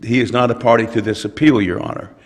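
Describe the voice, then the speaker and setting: An older male attorney, hoarse from a cold, arguing an appeal in a courtroom with frequent halting restarts. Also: medium pitch, steady tone. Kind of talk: appellate oral argument. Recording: long courtroom reverb.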